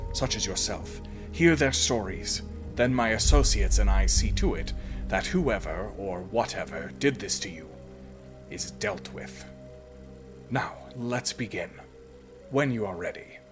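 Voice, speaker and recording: An actor playing a calm, grave investigator who wakes dead souls, speaking formally and soothingly in a horror audio drama. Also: male voice, lowish voice, slow pace. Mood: soothing, solemn. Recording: music behind the voice.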